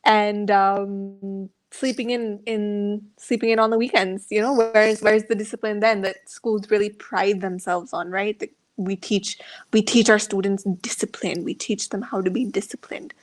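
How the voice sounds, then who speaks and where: A woman's voice: medium pitch, steady tone, measured pace. A woman from India giving thoughtful, articulate answers as a guest on an online panel, with rhetorical questions and the odd "right?". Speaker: panel guest speaking as a learner. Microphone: online video call.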